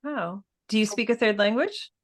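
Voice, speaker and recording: A woman's voice: medium pitch, moderate pace. A woman hosting an author chat, asking questions and giving short encouraging replies. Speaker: interview host. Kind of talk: casual interview.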